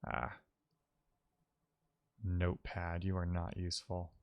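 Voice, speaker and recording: Male tech streamer, lowish smooth voice, casual, mutters through problems with dry playful asides. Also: completely flat delivery, slow, lo-fi sound. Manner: muttering to himself while troubleshooting. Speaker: live coding streamer. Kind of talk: casual thinking-aloud tech commentary.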